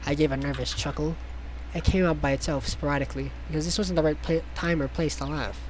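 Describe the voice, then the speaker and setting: A man's voice: a little high, fairly even intonation, fast, slight background noise, rough recording. A man reading a visual novel aloud in a theatrical way, with sarcastic asides and stumbles over words. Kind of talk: mocking dramatic read-aloud with ad-lib commentary.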